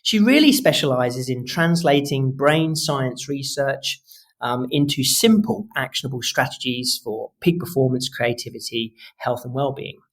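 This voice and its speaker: Man hosting, halting conversational phrasing. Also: mid-range voice, expressive. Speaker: podcast host. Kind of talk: conversational podcast interview.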